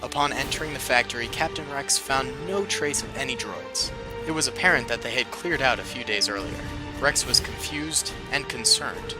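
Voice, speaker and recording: A man reading a scripted war story as narrator, in a steady storybook manner. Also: medium pitch, normal speed, music in the background.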